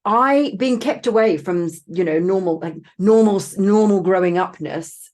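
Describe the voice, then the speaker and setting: A woman's voice: mid-range voice, unhurried, a little mellow. A woman with an English accent and a slight Australian twang, telling her story in a casual, digressive way. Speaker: interview guest, transformational coach.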